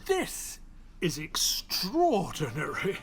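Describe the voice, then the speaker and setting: An actor playing an earnest, bewildered doctor, polite and old-fashioned, in an audio drama. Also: male voice, on the high side, huge pitch swings, takes it slowly, music in the background, full character voice. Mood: puzzled. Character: earnest, bewildered British doctor, gentleman narrator of adventures. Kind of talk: audio drama acting and scripted narration.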